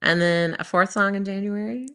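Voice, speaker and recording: A woman's voice: mid-range voice, moderate pace, sharp tone, clean tone. A woman in her thirties, a worship leader and recording artist, talking earnestly in a casual interview, rambling with fillers and restarts.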